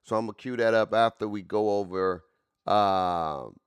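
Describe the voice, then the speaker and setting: A man's voice: fairly low pitch, normal speed, clear, resonant tone, dry recording. A man in his late forties streaming casually, repeating himself with hype and constantly talking to his chat. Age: 40s. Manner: repetitive, hyped, keeps addressing chat.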